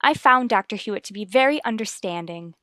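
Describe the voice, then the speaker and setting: A woman's voice: medium pitch, lively intonation, fairly quick, sharp tone, dry recording, clean studio sound. An actress playing an earnest, determined wife and worried mother in a radio soap, speaking in a firm, formal, reasoning way. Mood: firm, indignant. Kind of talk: radio soap opera acting.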